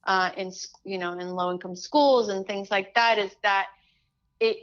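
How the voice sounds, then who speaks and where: A middle-aged woman from Chile talking as an interview guest, animated, with many restarts and fillers. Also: medium pitch, normal speed, dull audio. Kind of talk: casual interview chat.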